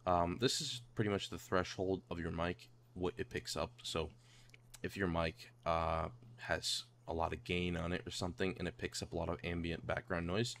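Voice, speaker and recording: A man narrating a casual software tutorial in a hesitant, rambling way, repeating himself. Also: low-pitched, level tone, moderate pace. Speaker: tutorial presenter. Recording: home mic with clear background noise.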